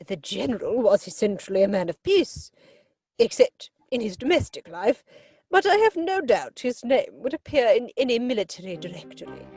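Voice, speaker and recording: A woman's voice: a little high, big pitch swings, normal speed, dark tone, breathy edge, no room sound. A woman playing an imperious, haughty older aristocratic aunt in a stage comedy reading. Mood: dismissive. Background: musical score.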